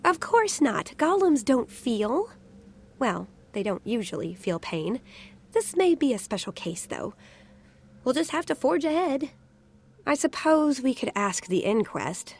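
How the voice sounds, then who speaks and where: A woman's voice: sits high, big pitch swings, a little slow, a little mellow, husky, full character voice. A woman voicing a brash, cocky little gnomish genius inventor in a video game, flippant and condescending. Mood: breezy, dismissive. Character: brash, cocky female asura genius golem expert. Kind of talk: video game cutscene voice acting.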